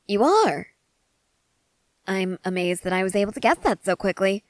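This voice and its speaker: A woman's voice: medium pitch, sing-song, moderate pace, a little mellow, dry recording, lightly acted. A woman playing an eager, intensely curious researcher-soldier who rambles in precise, analytical sentences in a scripted ASMR roleplay. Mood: earnest, intrigued.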